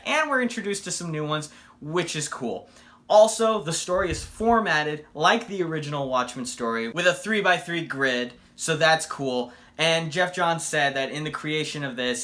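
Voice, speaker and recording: A man's voice: mid-range voice, bright, forceful tone. A man giving a casual comic book review in an enthusiastic, emphatic way.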